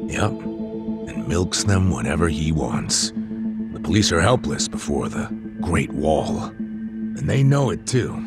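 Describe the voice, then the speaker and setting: A weary middle-aged ex-detective in a game drama. His voice sits very low and he explains things with dry, knowing matter-of-factness, with loud music underneath. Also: very animated, slow, gravelly, long pauses, exaggerated voice. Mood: wry. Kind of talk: English game voice acting. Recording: music playing loudly behind.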